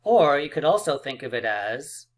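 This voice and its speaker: A man teaching a math lesson for children, upbeat and encouraging, a little hoarse and clearing his throat. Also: mid-range voice, big pitch swings, normal speed. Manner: upbeat and encouraging, playful drum rolls and counting aloud. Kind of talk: math lesson video for kids.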